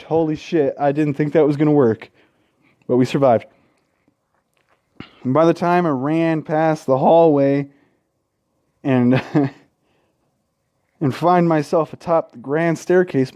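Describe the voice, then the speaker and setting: A man's voice: mid-range voice, warm tone, no room sound, clean studio sound. A man reading a visual novel aloud as a gaming host, tripping over words, correcting himself and dropping casual asides and swearing. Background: faint game music.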